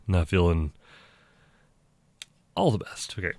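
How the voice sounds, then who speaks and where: Stuffy-nosed low-voiced male gamer. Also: sing-song, slow pace, vocal fry, darkish tone, no echo. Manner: chatty, rambling, self-deprecating. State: stuffy from allergies. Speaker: gaming streamer. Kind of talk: casual game commentary.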